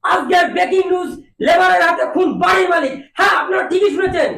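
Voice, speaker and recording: Very high-pitched, shouty cartoon news anchor. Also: male voice, fairly even intonation, quick pace, creaky, recorded from a distance, heavily acted. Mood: sensational, excited. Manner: shouty, melodramatic announcing. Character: loud sensationalist male TV news anchor, excitable and indignant. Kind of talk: parody breaking-news delivery in a comedy cartoon. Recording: roomy echo, faint noise, off-mic.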